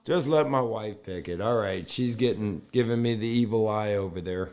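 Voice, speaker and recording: A man co-hosting a casual podcast chat, joking along in a slow, drawn-out way. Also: fairly low pitch, a little mellow. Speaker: podcast co-host.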